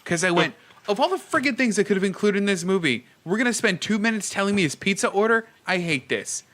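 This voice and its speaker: Guy on a podcast, kinda high bright voice, animated and slangy, just chatting movies. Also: quick pace. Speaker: podcast co-host. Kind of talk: casual chat about films.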